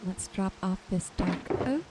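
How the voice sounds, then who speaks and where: A woman giving gentle, soothing ASMR commentary over her gameplay in an unhurried way. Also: medium pitch, lively intonation, very noisy. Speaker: gaming video creator. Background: music and rain sounds.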